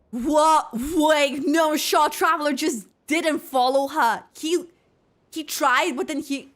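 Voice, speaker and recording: A woman game streamer giving excitable, halting reaction commentary as she plays. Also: high-pitched. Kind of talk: live gameplay reaction commentary.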